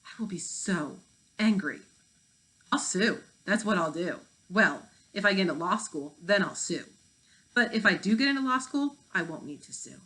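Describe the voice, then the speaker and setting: A woman's voice: mid-range voice, animated, normal speed, fairly bright tone, close to an everyday voice, poor audio. A woman reading the part of a prim, indignant fifth-grade overachiever, a girl who sounds aggrieved.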